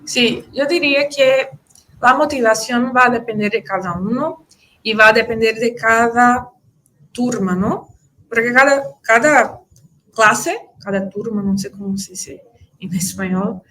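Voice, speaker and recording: A woman with a Brazilian Portuguese accent speaks slowly, a little high, and searches for words with frequent fillers and restarts. She is answering questions as a conference speaker over a video call, in deliberate, unhurried phrases. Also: pushed and bright, rough recording. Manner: hesitant, many fillers and restarts.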